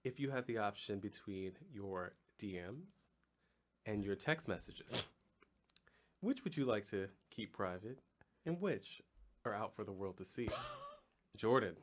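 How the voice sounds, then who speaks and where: A man's voice: on the low side, animated, measured pace, pauses often, slight background noise. A man with an American accent hosting a podcast, posing game questions in playful casual chat with teasing, campy slang. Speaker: podcast host.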